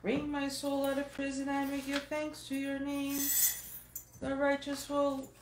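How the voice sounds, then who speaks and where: A woman church chanter singing psalm verses in a very slow liturgical chant. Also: sits high, completely flat delivery, background noise. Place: large church. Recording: echoey church with choral singing.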